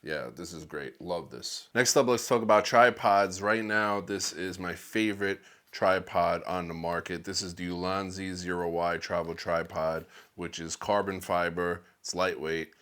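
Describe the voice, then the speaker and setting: A man with a deep, bassy voice giving a relaxed, enthusiastic rundown of his camera gear, recorded on a wireless clip-on mic. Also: a little slow, hardly any pauses. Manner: relaxed, enthusiastic product talk. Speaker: videographer vlogger.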